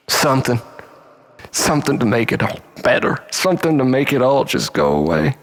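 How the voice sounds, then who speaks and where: A man performing a theatrical first-person monologue as a storyteller, melodramatic, swinging between exclamation and breathy hush. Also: mid-range voice, sing-song, normal speed.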